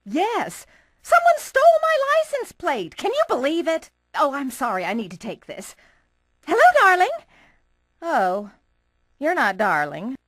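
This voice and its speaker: A woman's voice: sits high, huge pitch swings, a little slow, fairly bright tone, no echo, clean studio sound, full character voice. A woman voicing a flamboyant, gossipy, self-absorbed real estate agent in a theatrical, gushing manner.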